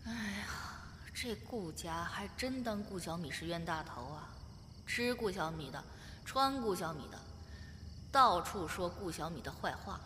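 A woman playing a bold, protective young woman with an expressive, theatrical delivery in a radio drama. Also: lowish voice, a little slow, slight background noise. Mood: indignant. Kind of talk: modern Chinese radio drama.

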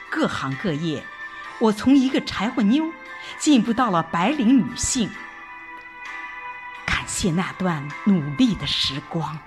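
A woman reading a scripted first-person audio drama narration in an expressive, reflective storytelling manner. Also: mid-range voice. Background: background music.

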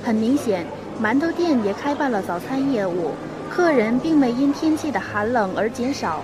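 High-voiced young female drama narrator. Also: expressive, moderate pace, poor audio. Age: young adult. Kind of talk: scripted storytelling narration. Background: music.

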